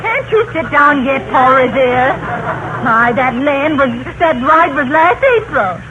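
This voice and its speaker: Shrill nagging wife, excitable, swooping pitch, 1930s radio comedy, dull noisy recording. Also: female voice, unhurried.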